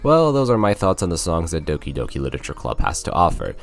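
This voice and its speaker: Low-voiced young man, lively intonation. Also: fast talker. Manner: informal, conversational, slangy. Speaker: video game reviewer. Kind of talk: casual scripted video essay narration. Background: music.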